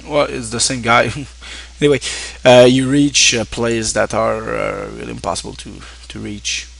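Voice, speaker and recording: A man from Quebec whose first language is French, speaking English with a Québécois French accent, talking casually to his viewers in a halting way with many fillers and restarts. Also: medium pitch, vocal fry, a bit bright. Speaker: streamer. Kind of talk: casual thank-you talk to viewers.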